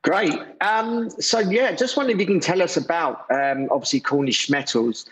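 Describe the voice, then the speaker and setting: A man hosting an interview podcast in an informal, somewhat halting, loosely worded way. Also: fairly high pitch, a bit bright, rough recording. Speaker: podcast host.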